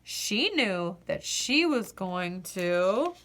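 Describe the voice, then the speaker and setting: A woman storyteller reading a children's picture book aloud, expressively and deliberately, with dramatic pauses on key words. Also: mid-range voice, sing-song, background noise.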